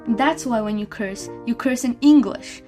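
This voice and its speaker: A teasing grown-up woman in a radio play, played by an actress. She speaks slowly, in a highish voice. Music plays behind her. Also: dark tone, close to an everyday voice.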